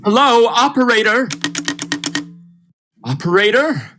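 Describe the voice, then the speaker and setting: A woman's voice: fairly high pitch, sing-song, takes it slowly, background noise. A woman playing a steadying, practical doctor in a vintage radio thriller, speaking in an earnest, reassuring way. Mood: urgent, concerned.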